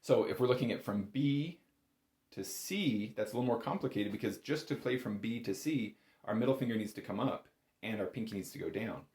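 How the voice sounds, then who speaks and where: A man's voice: fairly low pitch, brisk, darkish tone, echoey room. A man giving a casual, step-by-step music lesson as an instructor, in an informal, reassuring and encouraging manner. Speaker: bagpipe instructor.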